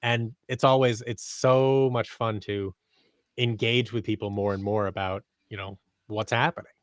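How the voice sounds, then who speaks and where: A young man chatting casually as a podcast guest, halting and often interrupting himself. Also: fairly low pitch, fairly even intonation, no room sound, studio quality. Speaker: podcast guest, audio drama creator. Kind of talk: casual chat. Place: small bright room at home, video call. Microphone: microphone on boom arm.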